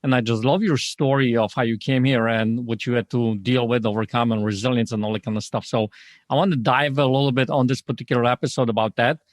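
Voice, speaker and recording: A man's voice: mid-range voice, steady tone, fast, dry recording, studio quality. A man hosting a podcast in an upbeat, emphatic, motivational way, often posing rhetorical questions as he introduces and interviews. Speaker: podcast host.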